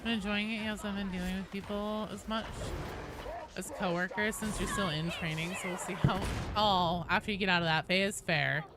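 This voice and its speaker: A woman's voice: medium pitch, fairly even intonation, brisk, music in the background. A woman livestreaming a video game, chatting casually and animatedly with viewers, swearing freely and greeting people as they come. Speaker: game streamer and host. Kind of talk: casual livestream chat over gameplay. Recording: clear background game noise. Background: video game sounds.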